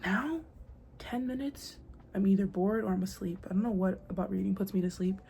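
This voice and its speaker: A woman's voice: medium pitch, fairly even intonation, brisk, vocal fry, warm tone. A young American woman talking casually to camera, full of "like" and "girl", sometimes dropping to a whisper, recorded on a built-in mic with faint outdoor noise. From United States. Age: young adult. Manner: chatty, lots of "like" and "girl", drops to a whisper at times. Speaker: vlogger. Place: noisy spot with outside sound. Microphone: built-in device mic, no proper microphone.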